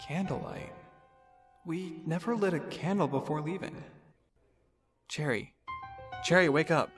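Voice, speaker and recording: Man voicing an anxious, high-pitched young big-brother pony. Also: a little slow, a bit bright. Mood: worried, hushed. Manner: worried, earnest. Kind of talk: dramatic storybook character acting. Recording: music behind the voice.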